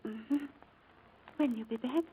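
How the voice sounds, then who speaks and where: An actress playing an affectionate young wife and new mother in 1940s radio style, tender and gently teasing. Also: on the high side, unhurried, narrow, tinny audio, a little hiss or hum, lightly acted.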